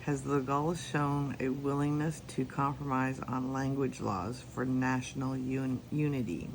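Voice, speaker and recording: Canadian male spiritual reader, unhurried and deliberate delivery, noisy background. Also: medium pitch, fairly even intonation, vocal fry. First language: English. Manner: slow, deliberate question-and-answer delivery. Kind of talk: pendulum reading with personal commentary.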